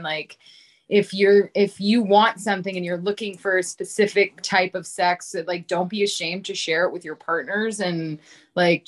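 A woman's voice: on the low side, fairly bright tone. A woman in her thirties speaking as a podcast guest in casual, candid conversation, informal and self-deprecating, often saying "like". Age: 30s. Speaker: podcast guest, comedian and content creator.

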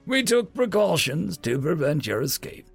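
A man voicing a gruff, pompous old noble villain. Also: high voice, expressive, unhurried, soft background music, voice pushed up, exaggerated voice. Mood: boastful, menacing. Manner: pompous, boastful. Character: old, smug, haughty male noble magistrate villain.

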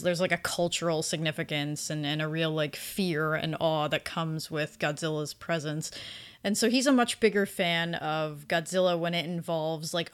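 Low-voiced woman, smooth and conversational. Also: fairly bright tone. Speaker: podcast co-host. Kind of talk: casual review discussion.

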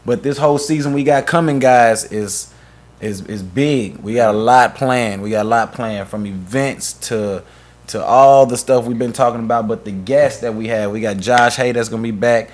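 A man's voice: medium pitch, normal speed. A man co-hosting a casual podcast, promoting upcoming guests and social pages with lots of fillers and restarts. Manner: hyped promo talk with many fillers and restarts. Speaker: podcast co-host.

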